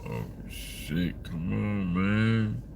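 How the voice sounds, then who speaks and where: Slow, deep-voiced man in his fifties. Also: gravelly, heavy background noise. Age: 50s. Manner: rambling, profane, talks to chat. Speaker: livestreamer. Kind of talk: casual livestream chat, reading viewer comments aloud.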